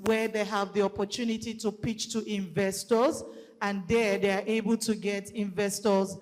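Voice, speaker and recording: Nigerian woman speaking loudly in a hall. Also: medium pitch. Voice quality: loud. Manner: explanatory, methodical, with light fillers. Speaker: conference speaker. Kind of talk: public presentation. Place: conference hall. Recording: long hall reverb.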